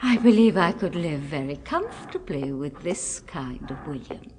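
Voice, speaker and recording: An actress playing a doting, quietly possessive British wife with a genteel, cooing manner in a 1970s radio horror drama. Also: female voice, sits low, sing-song. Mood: musing, wondering. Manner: genteel, deliberate, cooing endearments. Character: softly doting, quietly possessive wife of middle age or older. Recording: reverberant, noisy old radio recording.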